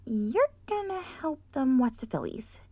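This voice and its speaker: Fretful, bossy cartoon unicorn, as a woman reader puts her on, high and strained, dry close sound. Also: very animated, unhurried, darkish tone, dry recording, heavily acted. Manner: strained, crying out. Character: young cartoon unicorn mare, anxious and bossy.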